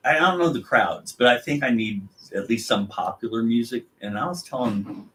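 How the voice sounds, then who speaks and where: A man's voice: medium pitch, moderate pace, fairly bright tone, rough recording. A man co-hosting a live chat show, talking casually, trailing off mid-sentence and laughing often. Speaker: co-host.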